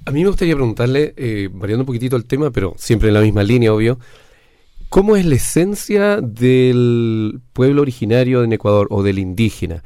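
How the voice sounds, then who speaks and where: A man's voice: medium pitch, lively intonation, fairly quick, mellow, smooth, no echo, studio quality. A man asking long, searching questions with fillers in a panel discussion.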